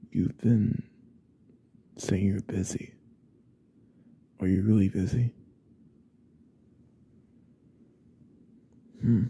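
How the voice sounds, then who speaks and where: A man playing a possessive, obsessive young classmate in an ASMR roleplay, speaking in a hesitant, breathy half-whisper. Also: fairly low pitch, heavily acted. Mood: suspicious, probing. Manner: hesitant, hushed, probing.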